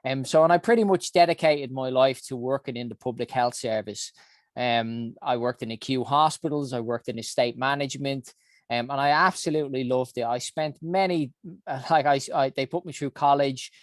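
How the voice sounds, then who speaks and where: A man's voice: medium pitch, normal speed, dry recording, studio quality. A man in his early forties with a Dublin Irish accent, giving an earnest personal talk about his career, with many ums and uhs. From Ireland. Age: 40s. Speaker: health summit founder.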